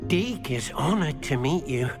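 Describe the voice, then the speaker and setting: An eager, humble house-elf. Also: male voice, fairly high pitch, lively intonation, unhurried. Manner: talks of himself by name, polite and eager. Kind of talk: video game voice acting. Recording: game soundtrack with clear music.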